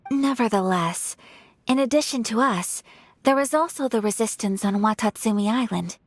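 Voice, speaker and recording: A woman playing a refined, composed young noblewoman in a video game, speaking in a formal, measured, polite way with a soft voice. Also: fairly high pitch, dry recording. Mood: composed, serious. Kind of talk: video game dialogue acting.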